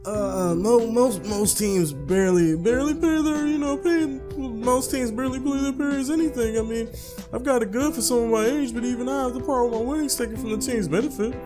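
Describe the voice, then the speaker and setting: Man putting on a squeaky young gamer dude. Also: fairly quick, music in the background. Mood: stumbling, boastful. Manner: slangy, stumbling, chatty. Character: cocky squeaky young male pro gamer, casual slangy.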